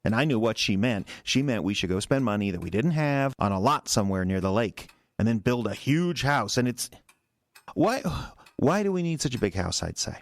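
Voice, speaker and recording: An actor in an audio drama playing a resentful, defensive husband who stammers and rambles in a halting, wounded way. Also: male voice, medium pitch, expressive, lightly acted. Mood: brooding, resentful. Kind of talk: naturalistic audio drama acting.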